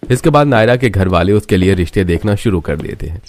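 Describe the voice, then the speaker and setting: A man's voice: deep voice, expressive, fast. A man reading a scripted story as its narrator.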